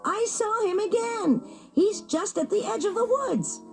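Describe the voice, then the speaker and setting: A woman voicing a young, mischievous boy wolf cub who shouts and pleads in a high voice. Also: measured pace, soft background music. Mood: excited, pleading.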